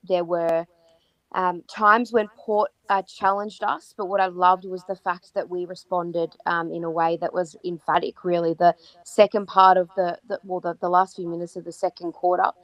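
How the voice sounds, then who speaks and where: An Australian woman co-hosting a podcast, her voice croaky from yelling, chatting enthusiastically with frequent ums and restarts. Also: fairly low pitch, steady tone, normal speed, no echo, low-quality recording. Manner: enthusiastic, many fillers and restarts. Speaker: podcast co-host. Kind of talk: casual chat about football.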